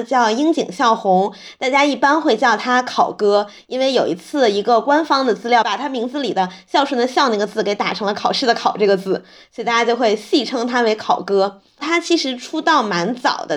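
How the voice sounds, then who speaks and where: A woman with a fairly high voice hosts a casual podcast. She explains things conversationally, like telling a story to her listeners. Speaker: podcast host.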